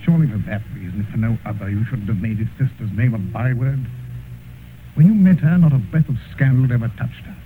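An actor playing an earnest, moralizing gentleman painter, grave and pleading, in old radio drama style. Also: mid-range voice, quick pace, phone-quality sound, natural voice. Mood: stern reproach. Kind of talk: 1950s radio drama acting. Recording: scratchy old radio recording, thin band, hiss.

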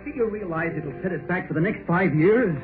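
An actor playing an insistent young working husband, emphatic and repetitive, in 1930s radio drama style. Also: male voice, high voice, a little fast, over music, lightly acted. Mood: exasperated. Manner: emphatic, repeats himself. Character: young working husband, insistent, carefree yet money-worried. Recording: noisy, narrow-band 1930s radio.

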